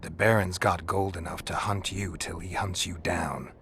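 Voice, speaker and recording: Very deep, gruff monster hunter. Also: male voice, moderate pace, slight background noise. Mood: persuasive. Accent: American. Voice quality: low gravelly growl, breathy at phrase ends. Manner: deadpan, terse, dryly sardonic. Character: gruff, stoic male monster hunter for hire, dry and blunt. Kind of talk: video game voice acting.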